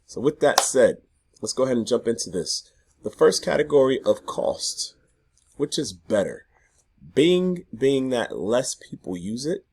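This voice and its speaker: A hoarse man in his thirties talking casually to camera from his home garage about online marketing. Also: fairly low pitch, darkish tone. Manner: chatty, loose, self-interrupting. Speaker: affiliate marketing vlogger.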